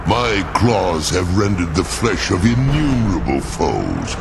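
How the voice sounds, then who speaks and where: An actor playing a proud, ancient, clawed warrior under a curse, speaking solemnly in grandiose archaic phrases over noisy ambience. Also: male voice, low-pitched, big pitch swings, slow pace, creaky, full character voice. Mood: solemn, respectful.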